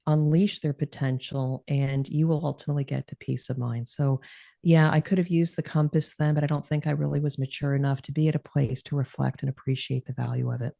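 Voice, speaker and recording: A middle-aged woman guest speaking calmly and reflectively in an interview, with a soothing, radio-like voice. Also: a little fast, vocal fry. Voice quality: calm, low voice. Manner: reflective, earnest, with occasional laughs. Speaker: podcast guest. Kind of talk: conversational interview.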